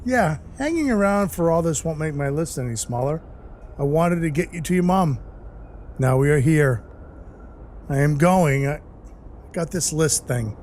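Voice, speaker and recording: A man playing a gruff, soft-hearted dwarf who works as Santa's helper, narrating his own story in an audio play in a wry, hard-boiled way. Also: fairly high pitch, animated, unhurried, long pauses, slight background noise. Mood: subdued, tender. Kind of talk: first-person audio play narration and dialogue. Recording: music under the voice. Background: music.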